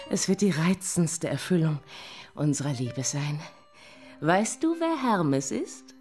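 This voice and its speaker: An actress playing a wealthy, amorous married lady in a radio play, breathy, rapturous and affected, with touches of French. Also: sits low, very animated, slow pace, a little mellow, faint music behind, heavily acted. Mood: conspiratorial, excited.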